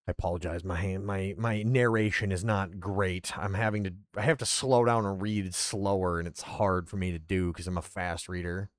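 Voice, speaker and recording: A male streamer chats casually between readings, rambling and self-mocking. His voice sits low and sounds dry and close. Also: fairly even intonation, moderate pace, hardly any pauses, no echo. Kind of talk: casual chat, reading roleplay aloud.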